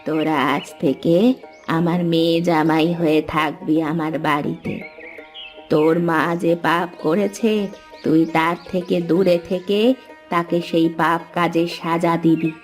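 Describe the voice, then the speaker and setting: A woman's voice: low-pitched, flat delivery, a little slow, faint music behind. A woman playing a kindly but firm old village woman in a dramatic fairy-tale cartoon. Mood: solemn. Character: kindly, firm old village mother and lonely hut-dwelling granny.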